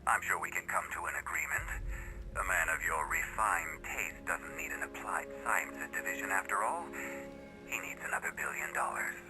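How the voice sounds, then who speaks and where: An actor playing an imperious billionaire tycoon villain in a video game, leaving a formal, condescending phone message. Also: male voice, sits low, moderate pace, music in the background, close to an everyday voice, poor audio. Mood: impatient, coaxing.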